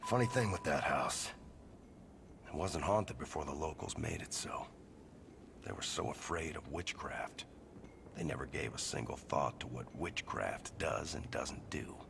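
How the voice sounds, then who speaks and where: A man playing a gruff, brooding storyteller in a video game cutscene, with a gravelly, breathy low rasp and an ominous delivery. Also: lively intonation, a little slow, background noise, exaggerated voice. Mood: grim, ominous. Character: gruff, brooding man haunted by a burned house.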